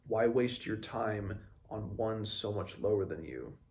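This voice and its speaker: A man's voice: fairly low pitch, measured pace, darkish tone, lightly acted. A man reading the part of a stern, exasperated warrior prince from a fantasy novel, with a questioning manner.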